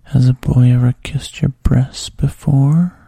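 Coaxing hushed man, breathy and gravelly, low dry whisper, as a narrator puts him on. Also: fairly even intonation, measured pace, dry recording. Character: soft-spoken coaxing adult man.

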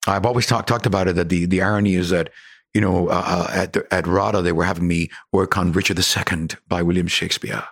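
A man in his sixties from Cuba with a Cuban accent, an actor telling stories in a casual chat, animated and laughing often. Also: deep voice, fast, vocal fry, breathy edge, no room sound, clean studio sound. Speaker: actor guest.

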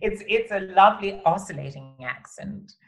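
A man's voice: high-pitched, moderate pace, vocal fry, fairly bright tone. A man hosting a podcast interview, talking in a flowery, theatrical way, calling guests darling and laughing readily. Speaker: podcast host.

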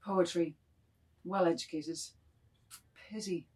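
A woman takes the part of a middle-aged man, kindly and anxious, in a dramatised novel reading. The voice sits on the low side for a woman. Lines come in clipped, thoughtful fragments. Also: steady tone, slow pace, a little mellow. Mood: musing, tender.

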